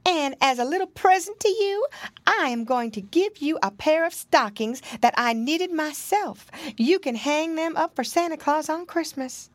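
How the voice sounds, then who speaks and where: A woman's voice: high voice, animated, measured pace, dry recording, pitched above the performer's own voice. A woman voicing a kindly female muskrat nurse in a children's storybook, polite and effusive. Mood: delighted, kind.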